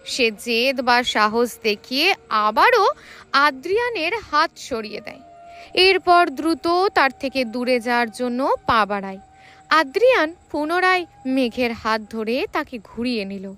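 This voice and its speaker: A woman storyteller reads romantic fiction in a high voice. Her pitch moves up and down in a lively way as she delivers the scripted narration with drama. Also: measured pace, faint music behind.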